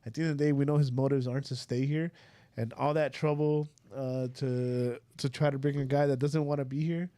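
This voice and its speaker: A man's voice: mid-range voice, fairly even intonation, fairly quick, dark tone. A man co-hosting a sports podcast, giving blunt opinions in casual chat and laughing a lot. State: tired after golf. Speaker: podcast co-host.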